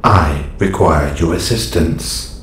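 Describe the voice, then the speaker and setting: A calm robot superhero, acted by a man for an audio roleplay, low in pitch and very unhurried. The phrasing is stilted and formal, and the voice rings in a roomy echo. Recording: roomy echo, slight background noise.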